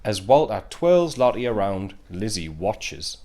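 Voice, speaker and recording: A man's voice: on the low side, very animated, measured pace, warm tone. A man playing a wistful, reflective narrator of an audio play, who watches those he loves. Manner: reflective storytelling.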